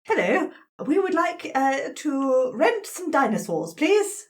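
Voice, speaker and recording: A woman's voice: sits high, sing-song, slow. A woman improvising dialogue as a player in a fantasy game, polite and a little hesitant. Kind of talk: improvised in-character chat.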